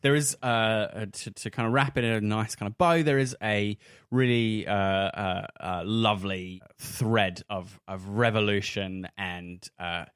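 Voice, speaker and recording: A man's voice: on the low side, fairly even intonation, measured pace, a bit bright, clean tone, dry recording, studio quality. An Australian man hosting a casual round-table chat, often hesitating as he searches for the right words. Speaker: podcast host and game referee.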